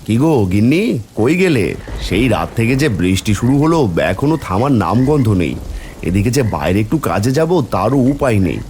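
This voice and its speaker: Man acting a low-voiced poor village father, very expressive. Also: fairly quick, slight background noise. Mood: weary complaining. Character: poor humble village father, hesitant and pleading. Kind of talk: cartoon fairy-tale dialogue acting.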